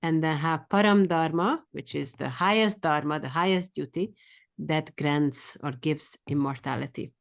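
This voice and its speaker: Woman, Hungarian accent, low voice, eager, class teacher. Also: vocal fry. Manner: quick and eager, sometimes runs fast. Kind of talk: scripture study class.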